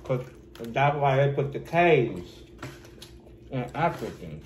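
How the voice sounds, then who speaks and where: A man's voice: mid-range voice, sing-song, slow pace, a little hiss or hum. A young man rambling earnestly and arguing a point while eating at a kitchen table. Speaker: mukbang co-host. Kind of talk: casual banter while eating. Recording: echoey kitchen with faint ambience.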